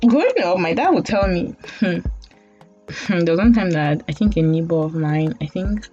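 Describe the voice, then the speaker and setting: Nigerian woman, late twenties, low-ish voice, rambly and self-mocking, laughs a lot; dull lo-fi recording over faint music. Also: lively intonation, moderate pace, dark tone. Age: late 20s. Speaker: podcast host. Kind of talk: casual storytelling monologue.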